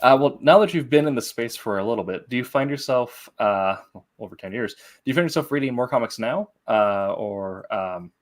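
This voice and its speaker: A man hosting a casual interview, asking questions in a halting way as he searches for words. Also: mid-range voice, animated. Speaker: interview host.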